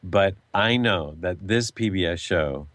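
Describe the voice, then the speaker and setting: A man's voice: sits low, measured pace, a bit bright, dry recording. A man hosting a casual interview, playful and teasing with his guest. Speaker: host.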